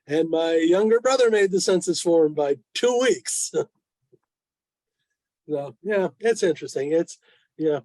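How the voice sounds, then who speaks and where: Wry older man, higher-pitched voice. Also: measured pace, pauses often, a little mellow, no room sound. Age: 70s. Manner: wry, self-deprecating. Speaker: club presenter. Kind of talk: informal club presentation with questions. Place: online video meeting. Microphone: video call.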